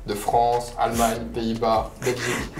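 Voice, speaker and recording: A man's voice: mid-range voice, completely flat delivery, measured pace, reverberant, noisy background. A man in his mid twenties speaking assertively in a casual group discussion, repeating points for emphasis. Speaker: panelist, works as a manager.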